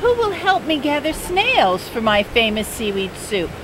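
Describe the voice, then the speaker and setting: An older woman voicing a cheerful, determined little girl who asks for help in a bright, eager way. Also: high-pitched, unhurried, pitched above the performer's own voice. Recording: steady outdoor noise.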